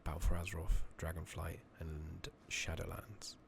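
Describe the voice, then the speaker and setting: A soft-spoken British man giving casual, rambling ASMR commentary over a game, sometimes near a whisper, with hesitant pauses as he muses. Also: deep voice, level tone, creaky. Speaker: streamer playing an online fantasy game. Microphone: close makeshift microphone. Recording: noisy, slightly loud close microphone.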